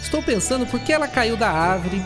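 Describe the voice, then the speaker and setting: A woman's voice: on the high side, expressive, fairly quick, close to an everyday voice. A woman playing a grumpy, aloof, brilliant male scientist in a radio drama, with a curt, formal manner. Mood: pondering. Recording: long reverb, music behind.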